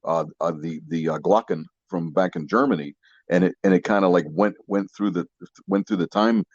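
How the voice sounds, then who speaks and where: A man's voice: low-pitched, normal speed, husky, no room sound. A man co-hosting a podcast in casual, agreeable, folksy chat over dull, muffled lo-fi audio. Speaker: podcast co-host.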